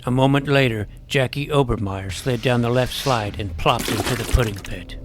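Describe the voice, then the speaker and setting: A man playing an earnest, nerdy schoolboy superhero who narrates his own adventure in a deadpan first-person style. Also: medium pitch, moderate pace, noisy background, lightly acted. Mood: earnest narrating. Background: music.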